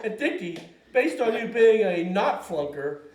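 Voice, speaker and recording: A man's voice: high voice, very animated, unhurried, darkish tone. A man playing a crude, carefree, rowdy fisherman with a jokey, casual manner at an amateur table read. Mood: teasing. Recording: echoey noisy room, distant microphone.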